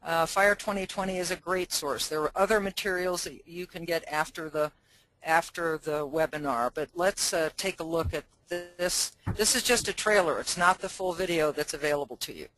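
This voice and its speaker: A man's voice: a little high, moderate pace, pushed and bright, dry recording, low-quality recording. Man fire chief presenting a webinar. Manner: friendly, informal, many fillers.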